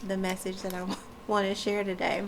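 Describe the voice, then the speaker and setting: A woman's voice: mid-range voice, monotone, moderate pace, slight background noise. A woman talking casually to camera with a stuffy nose and a froggy voice, chatty and loose with many fillers. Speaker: wellness vlogger. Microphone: camera mic.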